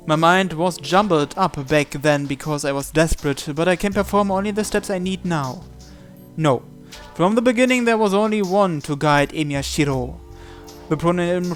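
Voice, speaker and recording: A man's voice: high voice, expressive, normal speed, faint music behind. A man narrating a visual novel in the first person, reading from the script.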